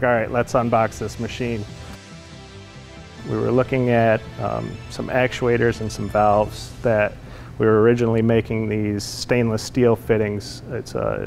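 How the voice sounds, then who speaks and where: A man's voice: fairly low pitch, creaky, darkish tone. An American man in his forties, a production manager, talking colloquially in a customer testimonial interview. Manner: plain-spoken, colloquial. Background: music.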